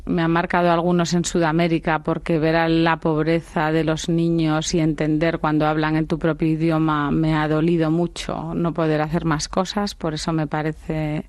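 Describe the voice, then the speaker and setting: A woman from Spain, a business leader as the guest in a radio studio, answering in a reflective, unhurried way. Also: sits low, darkish tone, dry recording. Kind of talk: radio interview conversation.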